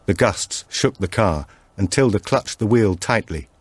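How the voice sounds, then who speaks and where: Low-voiced man narrating a thriller. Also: lively intonation, no room sound. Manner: measured, deliberate storytelling. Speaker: audiobook narrator. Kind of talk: scripted narration of a supernatural thriller.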